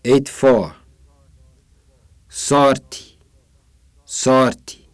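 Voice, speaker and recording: Man reading word lists very slowly. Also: mid-range voice, steady tone. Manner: deliberate, isolated words. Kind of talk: reading numbered word list aloud.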